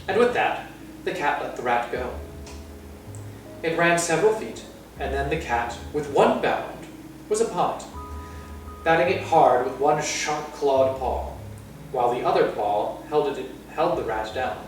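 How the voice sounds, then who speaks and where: A man reading a children's story aloud as an expressive storyteller. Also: medium pitch, unhurried, long pauses, faint music behind. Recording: very distant, echoey room.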